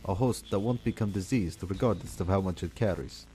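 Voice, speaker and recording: An actor playing a smug, calculating villain who lectures and taunts in a measured way in a radio drama. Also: male voice, low voice, warm tone, close to an everyday voice. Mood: taunting. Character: smug, calculating male villain plotting with viral weapons.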